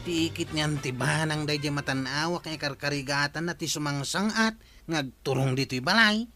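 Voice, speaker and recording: A woman's voice: deep voice, moderate pace, a bit bright, soft background music. A woman voicing a straining village woman in a radio comedy drama, groaning with effort.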